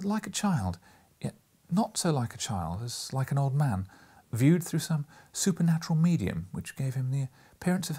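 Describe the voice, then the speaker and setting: A man reading an audiobook as dramatic literary narration, theatrical and sometimes hushed, with emphatic stresses. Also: medium pitch, breathy edge. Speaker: audiobook reader.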